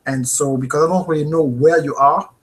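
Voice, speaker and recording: A man's voice: a little high, brisk. French-accented man teaching a live webinar. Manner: earnest, animated, repeats "okay?" to viewers. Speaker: host. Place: home office with bookshelf. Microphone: webcam.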